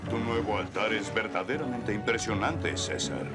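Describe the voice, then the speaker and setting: A man voicing a fawning courtier who flatters the emperor, in a cartoon. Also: fairly low pitch, normal speed, over loud music, natural voice. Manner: fawning, obsequious.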